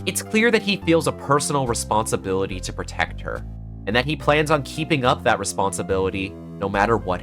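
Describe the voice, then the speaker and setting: A man with a mid-pitched, animated voice narrates a video essay. His delivery is earnest and scripted with dramatic emphasis, over faint guitar music.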